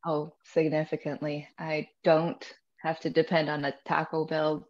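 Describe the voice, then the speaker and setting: A woman's voice: low voice, monotone, normal speed, a little mellow. Wry woman over dull lo-fi audio. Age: 30s. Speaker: guest. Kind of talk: casual group chat.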